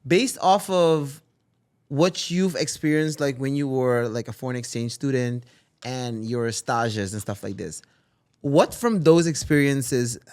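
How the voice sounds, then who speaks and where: A man's voice: mid-range voice, darkish tone. A man co-hosting a podcast who asks loose, rambling questions full of fillers. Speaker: podcast co-host. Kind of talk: casual podcast interview.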